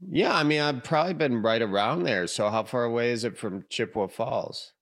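A man's voice: mid-range voice, fairly quick, a bit bright. A man hosting a podcast, chatting casually and joking, often addressing listeners as folks. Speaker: podcast host. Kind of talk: casual chat and interview.

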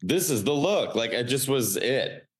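A man's voice: mid-range voice, sing-song, measured pace, slightly rough. A man in his late thirties from the Chicago area with a Chicago accent, a stand-up comedian chatting loosely on a podcast, full of "like" fillers. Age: 30s. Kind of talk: casual podcast chat.

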